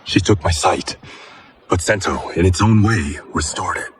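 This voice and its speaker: Low, stoic swordsman. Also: unhurried, slight background noise. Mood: sober. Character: restrained, stoic blinded male swordsman. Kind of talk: cinematic video game acting.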